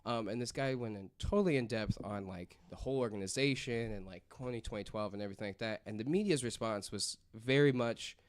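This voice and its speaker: A man's voice: on the low side, a little fast, few pauses, no room sound. A man presenting a story on a casual podcast, reading from notes and rambling through asides peppered with "like".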